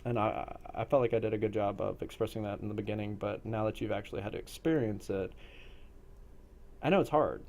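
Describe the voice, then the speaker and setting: Man, game master, gravelly fry, soft mellow tone, deliberate, explanatory. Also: on the low side. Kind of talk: explaining a role-play game session.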